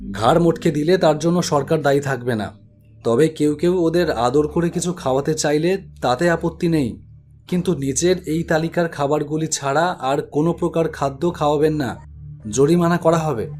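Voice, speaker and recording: A man's voice: fairly high pitch, a little mellow. A man narrating a scripted spooky story in a dramatic, suspenseful way.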